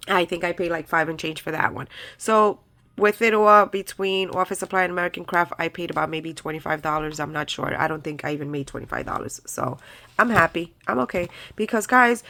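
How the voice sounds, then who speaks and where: A woman's voice: medium pitch, pushed and bright. Nasal, chatty woman with a head cold. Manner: chatty, rambling with many ums and likes. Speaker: crafting channel host. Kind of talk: casual haul video chat.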